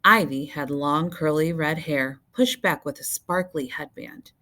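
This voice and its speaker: A woman reading a storybook aloud to children like a teacher, with expressive, deliberate and emphatic phrasing. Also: low voice.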